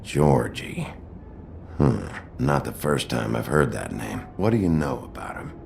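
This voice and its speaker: A gruff investigator speaks in an extremely deep voice full of gravel. He keeps his questions terse and low-key, as in a game drama, with ambient noise behind him. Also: big pitch swings, unhurried, darkish tone, exaggerated voice. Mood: probing. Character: gruff, terse male investigator following a lead. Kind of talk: dramatic video game acting.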